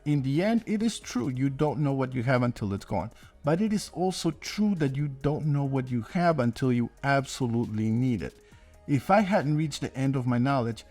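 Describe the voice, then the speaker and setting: A man's voice: medium pitch, darkish tone. A man hosting his own channel as an inventor, narrating a scripted video update to viewers. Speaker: host and inventor.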